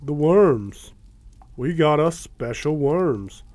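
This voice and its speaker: Slow, creepy Southern country boy. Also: fairly high pitch, lively intonation, a little mellow, dry recording. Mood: folksy, relishing. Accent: rural Southern US. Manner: halting country drawl. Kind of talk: audio drama acting.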